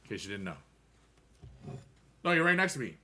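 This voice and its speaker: A man's voice: medium pitch, sing-song, a little fast, pushed and bright, a little hiss or hum. An American man in his thirties chatting casually with viewers on a game stream, rambling and upbeat with playful rhymes.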